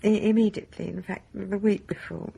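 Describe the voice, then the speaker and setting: A woman's voice: mid-range voice, level tone, fairly quick, creaky, dark tone, slightly rough. An actress with an English accent playing a timid, earnest, genteel woman in a radio drama, speaking hesitantly and repeating words. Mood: nervous, wistful. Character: timid, earnest, sensitive single woman who loves classical music.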